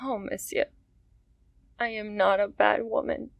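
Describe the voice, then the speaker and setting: A woman's voice: mid-range voice, level tone, unhurried, vocal fry, no echo. An actress playing a poor, frightened young woman in a radio drama, pleading and emotional.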